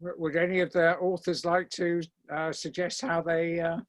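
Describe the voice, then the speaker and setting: A man hosting an online event informally, speaking in a hesitant, halting and courteous manner. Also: fairly high pitch, little pitch movement, no room sound. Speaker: event host. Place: online video call.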